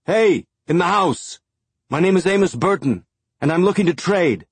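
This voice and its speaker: A man putting on a coarse, loud-talking tough guy from an audiobook, raised and pushed up in pitch, husky and dry, easygoing but crude. He hollers his words across open ground. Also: expressive, normal speed, no room sound. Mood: shouting. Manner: shouting across a distance, coarse talk.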